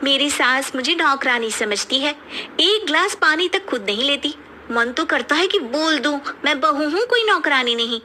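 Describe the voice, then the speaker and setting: Young Indian daughter-in-law, touchy but affectionate, done by a woman, high and bright, for a cartoon moral tale. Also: lively intonation, moderate pace, a little hiss or hum. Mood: irritated. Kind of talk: Hindi moral cartoon story voice acting.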